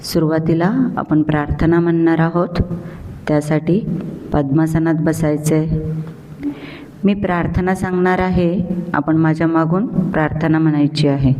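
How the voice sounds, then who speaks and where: A woman guiding a yoga nidra meditation as a teacher, giving measured step-by-step instructions. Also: sits low, steady tone, dark tone, a little hiss or hum. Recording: long hall-like reverb.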